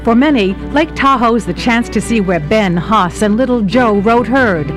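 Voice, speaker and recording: A woman's voice: on the high side, animated, moderate pace. A woman reading a scripted travel narration as the reporter of the segment. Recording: music behind the narration. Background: background music.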